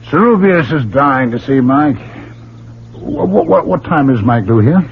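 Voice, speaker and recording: An actor playing a mild, affable middle-aged husband and scholar in a 1970s radio drama, gentle and conversational. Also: male voice, mid-range voice, lively intonation, measured pace. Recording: muffled 1970s radio broadcast, faint noise.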